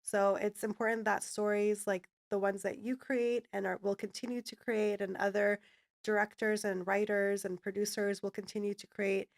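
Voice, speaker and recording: A woman's voice: mid-range voice, little pitch movement, normal speed, gravelly. A woman hosting a podcast, talking with a guest in an earnest and affirming way. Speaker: podcast host. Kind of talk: casual interview talk. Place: podcast studio.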